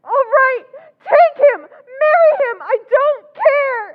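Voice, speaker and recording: A brittle, tearful young sister from a vintage radio play. Her very high voice breaks into sobs and slow, shaky lines. The sound is tinny and narrow, like an old phone line. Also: expressive, exaggerated voice. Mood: hysterical. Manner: sobbing, hysterical outbursts. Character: Kathy, distraught young sister, brittle and manipulated. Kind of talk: 1940s radio acting. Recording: tinny narrow-band recording.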